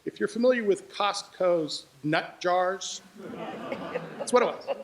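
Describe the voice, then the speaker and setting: A man's voice: high-pitched, expressive, slow, a little hiss or hum. A man speaking as a sound editor, telling anecdotes in a public presentation.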